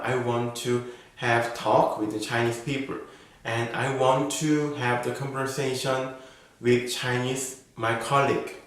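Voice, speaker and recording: Slow, careful man in an echoey room. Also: medium pitch. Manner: slow, careful, model-answer delivery. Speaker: IELTS speaking teacher. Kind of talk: reading sample exam answers as a lesson.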